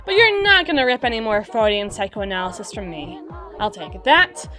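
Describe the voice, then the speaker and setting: A woman in an ASMR roleplay voicing a gruff, guarded drider girl bouncer in her twenties, wry and brusque with exasperated sighs. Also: mid-range voice, sing-song, normal speed, natural voice. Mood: teasing. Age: 20s. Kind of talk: ASMR roleplay audio drama. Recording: music playing in the middle distance. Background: club song playing in the middle distance.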